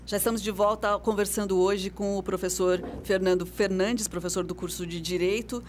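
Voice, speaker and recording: A woman's voice: mid-range voice, slight background noise. A woman hosting a radio interview show in a studio, talking conversationally and often affirming with short "uhum" sounds.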